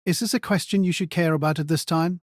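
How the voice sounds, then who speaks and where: A man playing a composed aristocratic mage in an audio drama, measured, indifferent and condescending. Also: a little high, no room sound, clean studio sound, lightly acted. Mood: indifferent, superior.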